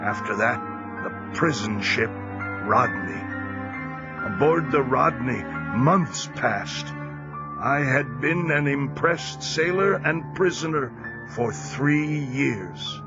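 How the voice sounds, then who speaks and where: An actor narrating an audio drama as a former American sailor recounting his captivity, solemn, measured and dramatic. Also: medium pitch, expressive. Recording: dull recording under loud music.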